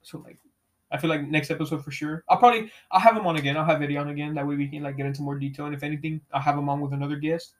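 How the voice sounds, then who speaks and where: A young man with a fairly high, somewhat raised voice hosts a loose, unplanned podcast. He talks in easy slang, peppered with "bro" and cursing. He laughs often as he goes. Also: steady tone, very fast. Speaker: podcast host. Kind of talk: casual chat with heavy swearing.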